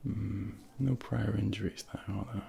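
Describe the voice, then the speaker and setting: A man role-playing a junior doctor in a soft-spoken ASMR exam, half whispering in a gentle, hesitant, reassuring bedside manner on a close binaural microphone. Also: lowish voice, steady tone, moderate pace, creaky. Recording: close binaural ASMR, faint background noise.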